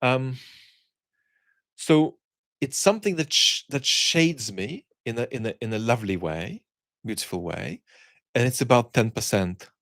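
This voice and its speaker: A man hosting an online chat, talking reflectively and conversationally in articulate, opinionated phrases, often pausing and restarting words as he searches for the right one. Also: mid-range voice, expressive, fairly bright tone, no echo. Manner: halting, searching phrasing with repeated word starts. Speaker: host of a live chat for an online community.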